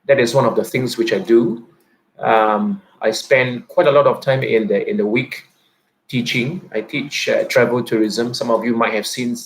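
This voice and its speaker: A man in his thirties, a teacher, giving a personal reflective talk, speaking haltingly with a stutter and frequent repeats and restarts. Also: mid-range voice, gravelly, slight background noise, poor audio. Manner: soft-spoken and introverted.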